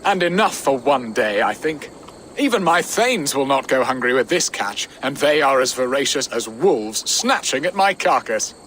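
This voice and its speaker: Theatrical saxon lord for a game, lovestruck, playful, really animated voice. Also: male voice, medium pitch, moderate pace, slight background noise. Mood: jovial, affectionate. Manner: florid, playful. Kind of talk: video game cutscene acting.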